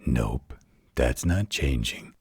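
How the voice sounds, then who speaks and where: A man voicing a gentle, patient werewolf in a slow, soothing bedtime roleplay, with a husky growl. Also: lively intonation, no echo, studio quality, exaggerated voice. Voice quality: very deep gravelly husky growl. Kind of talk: intimate bedtime roleplay audio.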